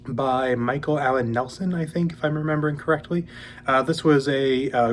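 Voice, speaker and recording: A young man hosting a casual book channel, talking in a relaxed, rambling way with many ums, a little sniffly as he gets over a cold. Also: mid-range voice, slight background noise. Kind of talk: casual monthly reading update.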